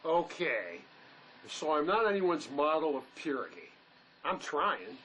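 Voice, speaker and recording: Male actor as anxious fugitive, bright, tense voice. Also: fairly high pitch, big pitch swings, measured pace, full character voice, poor audio. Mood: defensive. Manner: earnest, pleading. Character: anxious, remorseful male fugitive, pleading peacemaker. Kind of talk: dramatic script reading.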